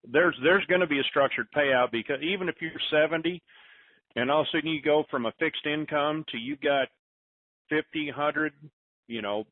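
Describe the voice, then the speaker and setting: A man's voice: on the high side, moderate pace. A man hosting a call-in conference, talking informally in a folksy way and handing out advice. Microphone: telephone conference line. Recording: muffled phone line, distant mic.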